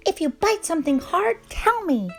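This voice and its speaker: A woman plays a young storybook girl, panicky and bossy. The voice jumps high and loud with urgency, swinging widely over a music bed. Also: big pitch swings, moderate pace, exaggerated voice. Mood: urgent, bossy.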